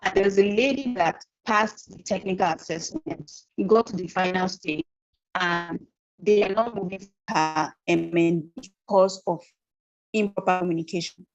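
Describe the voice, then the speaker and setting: A woman giving an online soft skills training over a weak video call. She talks earnestly and apologizes. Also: fairly low pitch, moderate pace, dry recording, dull audio. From Nigeria. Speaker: guest trainer. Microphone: video call on a weak connection.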